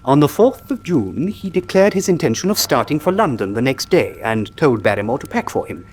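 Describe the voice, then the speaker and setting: An actor playing an earnest country doctor who tells a grim tale gravely and articulately in a radio drama. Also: male voice, mid-range voice, sing-song, a little fast, slight background noise, lightly acted. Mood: earnest, factual.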